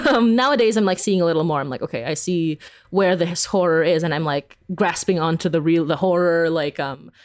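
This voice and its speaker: A woman from the Philippines speaks as a guest in a relaxed interview. Her voice is a little low for a woman. She is informal and giggly, thinking aloud in chatty, looping phrases. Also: normal speed. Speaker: interview guest, horror audio drama creator. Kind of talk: casual, chatty interview answers.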